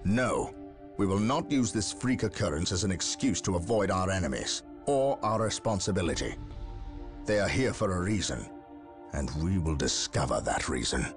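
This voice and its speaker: An actor playing a stern, brooding male space marine captain who speaks in a grave, measured, commanding way. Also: deep voice, very animated, music in the background. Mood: resolute. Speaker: soldier. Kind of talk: video game cutscene acting.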